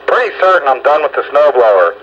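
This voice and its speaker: A man's voice: medium pitch, sing-song, fast, dull audio. An older man chatting casually with fellow hobbyists over the radio as he hosts a round-up. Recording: very noisy radio transmission.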